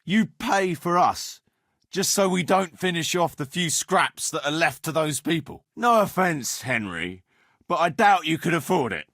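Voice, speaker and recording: A rough medieval fighter from a video game, leader of a hard-drinking band. His voice sits fairly high for a man and swings widely in pitch. He talks bluntly and coarsely, and the sound is dry and close. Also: expressive, unhurried, a bit bright, dry recording, exaggerated voice. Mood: gruff, mocking. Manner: blunt, earthy, swearing. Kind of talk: video game dialogue acting.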